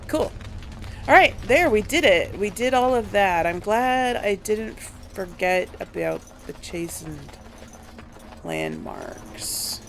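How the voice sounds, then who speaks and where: Slow-talking woman, slightly creaky gamer. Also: medium pitch, big pitch swings, a little hiss or hum. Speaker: gaming video host. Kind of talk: casual let's play commentary.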